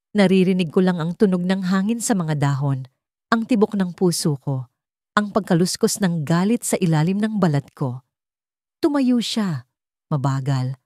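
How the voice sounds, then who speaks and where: Low-pitched, mellow woman storyteller. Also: no echo, studio quality. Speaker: story narrator. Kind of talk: scripted first-person dramatic narration of a romance story.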